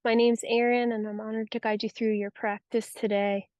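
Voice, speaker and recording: Mellow, soothing woman guiding relaxation. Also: fairly high pitch, flat delivery, dry recording. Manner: soothing, unhurried, gentle instruction. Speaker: yoga nidra guide. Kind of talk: guided relaxation meditation.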